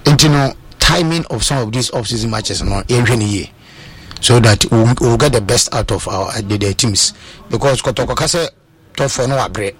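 Ghanaian man, football pundit, halting speech, lots of uh and restarts, mixing in twi. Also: mid-range voice, a bit bright, husky. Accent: Ghanaian English. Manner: hesitant, many fillers and restarts, mixes in Twi. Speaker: football analyst. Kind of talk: sports radio talk.

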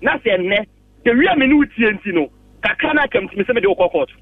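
A man's voice: sits high, lively intonation, no echo. Ghanaian man ranting over tinny phone. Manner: argumentative, halting, repeats himself, mixes Twi and English. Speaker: phone-in caller. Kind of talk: heated opinion on a radio call-in. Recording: dry tinny phone line, distant.

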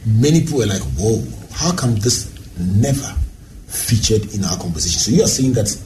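A man hosting a talk show, easygoing and conversational as he turns questions to his guests. Steady background noise sits under his voice throughout. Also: lowish voice, normal speed, low-quality recording. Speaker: host.

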